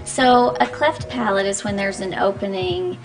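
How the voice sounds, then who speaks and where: A woman speech pathologist speaks on a radio talk show in a patient, measured, explanatory way, pausing with ums as she walks through each point. Her pitch sits in the middle of the range. Music plays clearly underneath in the studio. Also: big pitch swings, poor audio. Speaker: speech-language pathologist guest.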